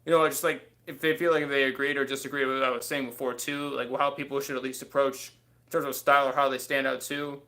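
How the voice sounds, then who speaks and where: A man's voice: mid-range voice, level tone, fast talker, bright, forceful tone. A man in his thirties hosting a casual livestream from a small bedroom, rambling with lots of fillers into a desk webcam. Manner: rambling, many fillers and "too". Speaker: livestream host. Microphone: webcam on desk, close.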